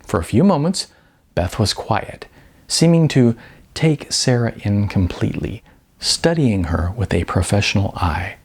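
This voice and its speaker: A man's voice: lowish voice, animated, unhurried, a little mellow, slightly rough. A man reading scripted fiction narration for an audiobook.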